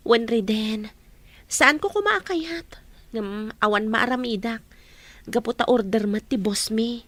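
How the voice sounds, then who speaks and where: A woman playing a caring, encouraging young working woman in a radio drama, gentle and sympathetic. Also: a little high, measured pace, no room sound. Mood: regretful. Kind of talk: Ilocano radio drama acting.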